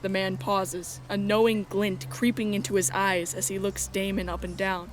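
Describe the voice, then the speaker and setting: Casting: female narrator, medium pitch, scripted first-person storytelling, light music underneath. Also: little pitch movement, moderate pace, poor audio.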